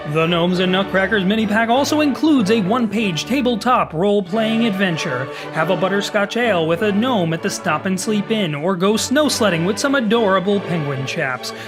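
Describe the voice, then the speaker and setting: Brief: bright, salesy male ad read, high voice, music bed. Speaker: ad narrator. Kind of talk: upbeat scripted ad read.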